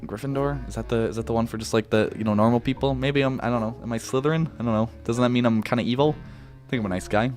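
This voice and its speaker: A man's voice: mid-range voice, fast, a little mellow, faint music behind. A young man from Canada with a Canadian accent, answering viewer questions in a rambling, laid-back way that keeps correcting itself. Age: young adult. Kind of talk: casual Q&A chat.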